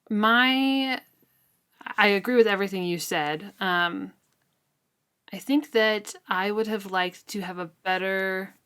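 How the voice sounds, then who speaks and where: A woman's voice: medium pitch, a bit bright, clear, resonant tone. A woman co-hosting a podcast, giving thoughtful, hedged opinions in a casual book discussion. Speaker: co-host of a book read-along podcast.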